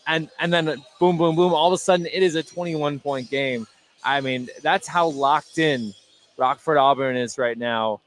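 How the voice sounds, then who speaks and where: A man's voice: on the high side, normal speed, fairly bright tone. A man giving conversational color commentary at a live basketball game, repeating words for emphasis. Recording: faint gym noise behind. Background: sports gym ambience.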